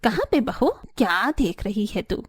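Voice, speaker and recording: A woman's voice: mid-range voice, animated, unhurried, slightly rough, dry recording, clean studio sound. A woman playing a rich, snobbish middle-aged mother-in-law in a cartoon story, boastful and haughty. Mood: disdainful. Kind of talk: cartoon story dialogue acting.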